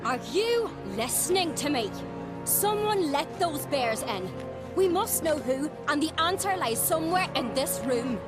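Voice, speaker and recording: High-voiced, headstrong young clanswoman. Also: expressive, a little slow, loud music behind the voice. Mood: urgent, exasperated. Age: young adult. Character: level-headed, headstrong young clanswoman, chieftain's daughter. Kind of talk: dramatic video game acting.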